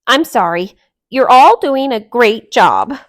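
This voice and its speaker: A bossy, excitable girl duck from a children's storybook, acted out by a woman. She gushes and gives orders in exclamations, with a raised pitch and friendly heart. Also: takes it slowly. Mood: apologetic, sweet. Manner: demanding, gushing, exclamatory.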